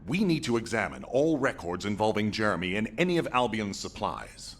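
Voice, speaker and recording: An actor playing a grave, authoritative male military commander in a game, formal and measured in his orders. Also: lowish voice, big pitch swings. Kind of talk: dramatic game cutscene acting.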